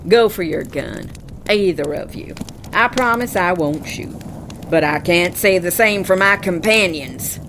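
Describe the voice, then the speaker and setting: An actress playing a bold, wry frontier scout with a folksy drawl in a western audio drama. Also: female voice, fairly low pitch, measured pace, a bit bright, slight background noise. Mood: wry menace.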